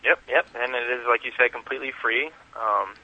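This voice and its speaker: A man's voice: medium pitch, fairly even intonation, fairly quick, sharp tone. A man giving conversational interview answers as a guest, heard over a lo-fi telephone call. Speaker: interview guest, website founder.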